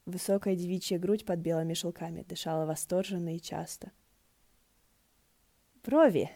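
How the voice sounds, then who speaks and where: A woman's voice: on the low side, level tone, a little fast, mellow. A woman narrating a story as an audiobook reader. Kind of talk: narrating fiction, acting out dialogue.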